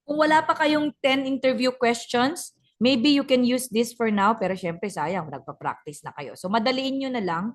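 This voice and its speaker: Brisk Filipino woman teaching online. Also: fairly high pitch, animated. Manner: brisk and teasing, switching between English and Tagalog. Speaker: communication skills trainer. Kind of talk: online class instruction. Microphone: video call.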